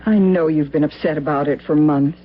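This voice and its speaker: A woman's voice: sits low, fairly even intonation, fairly quick, natural voice. Worried wife and mother played by a woman, earnest, pleading, vintage radio drama, faint hum, dull sound. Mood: worried, proud. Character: anxious, loyal wife and mother, fearful yet proud.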